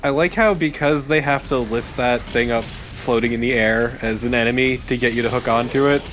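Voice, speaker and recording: A man's voice: mid-range voice, steady tone, moderate pace, a bit bright. A man chatting casually as a co-commentator over video game footage. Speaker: let's play co-commentator. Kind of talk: casual chat over gameplay. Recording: game battle noise behind voice.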